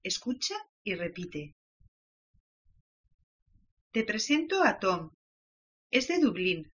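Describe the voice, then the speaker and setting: Lively woman giving lesson prompts. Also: medium pitch, slow pace. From Valencia, Spain. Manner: giving clear instructions to learners. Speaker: language course presenter. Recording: dull lo-fi audio.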